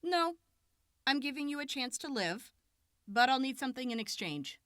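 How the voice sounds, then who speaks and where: An actress playing a composed, authoritative young raider leader in an audio drama, speaking in a measured, deliberate way. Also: female voice, high voice, sing-song, fairly bright tone, dry recording, clean studio sound. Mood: solemn, persuasive. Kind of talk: post-apocalyptic audio drama acting.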